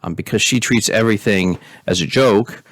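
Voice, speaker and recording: A man reading a story aloud in an expressive, measured way, as a pastor hosting storytime. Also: lowish voice, dry recording, studio quality. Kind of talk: storybook reading with informal recap.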